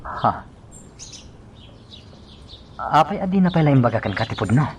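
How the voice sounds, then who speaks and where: A man's voice: fairly high pitch, sing-song, racing, slight background noise. A man playing a protective, reassuring companion in a radio drama, speaking earnestly. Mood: concerned.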